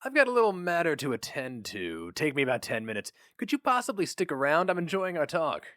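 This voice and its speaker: A man voicing a chummy, smoothly persuasive company recruiter with a folksy, chatty, breezy manner. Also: fairly high pitch, huge pitch swings, brisk, a bit bright, dry recording, clean studio sound, pitched above the performer's own voice. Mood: chummy, coaxing.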